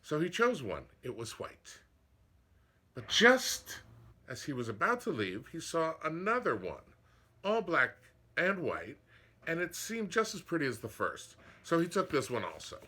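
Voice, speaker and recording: A man reading a picture book aloud as a storyteller, dramatic and expressive, with asides to listeners. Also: fairly high pitch, measured pace, slightly rough.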